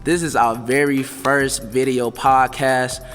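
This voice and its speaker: A man's voice: mid-range voice, little pitch movement, slow pace, a bit bright. Man, podcast host, laid-back casual talk, gym, reverberant sound, faint music behind. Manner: loose, laid-back, laughs often.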